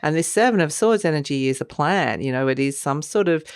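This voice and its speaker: A woman with a low voice talks through a tarot spread in a relaxed, meandering way. A cold leaves her sounding croaky and a little stuffed up, and she laughs softly between her thoughts. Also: a little fast. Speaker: tarot reader. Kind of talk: casual spoken tarot reading.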